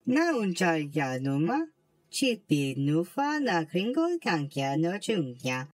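A woman's voice: on the low side, big pitch swings, slow, no echo, studio quality, exaggerated voice. A woman voicing a menacing alien bounty hunter who babbles needlingly in an invented tongue, in an amateur drama reading.

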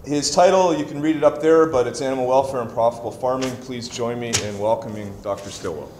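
A man in his fifties introducing a guest lecturer, with a halting delivery full of pauses and restarts. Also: mid-range voice. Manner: halting, many uhs and restarts. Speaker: event host introducing a speaker. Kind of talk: formal introduction. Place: large lecture hall. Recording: echoey lecture hall, faint noise.

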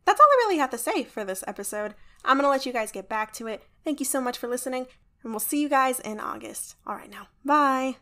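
A woman's voice: high-pitched, animated, quick pace, bright, forceful tone. A woman announcing for a podcast in a chatty, promotional style, playful and informal.

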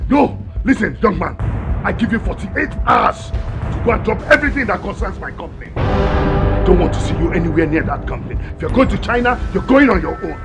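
A mad nigerian chief yelling at a young man, high-pitched and bossy, music behind. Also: sing-song, brisk. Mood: furious. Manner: commanding, threatening. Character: furious wealthy chief, protective father. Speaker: angry chief and father. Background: film score.

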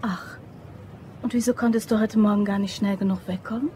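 A woman's voice: medium pitch, steady tone, brisk, mellow, a little hiss or hum, close to an everyday voice. A woman playing a teasing, flirty, wry office worker in an audio crime drama. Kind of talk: German radio play dialogue.

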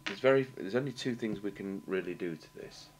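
A British man giving a halting, matter-of-fact technical demonstration, thinking aloud as he works at a workshop bench. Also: on the low side. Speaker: radio repair technician demonstrating. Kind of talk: unscripted technical demonstration commentary. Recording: clear background noise and hum.

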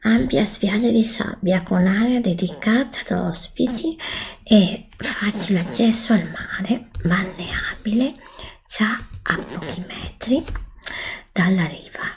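A woman doing a soft-spoken, often whispered ASMR roleplay as a travel agent, breathy and hesitant, close to the microphone. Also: medium pitch, a little slow. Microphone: desktop USB microphone, close.

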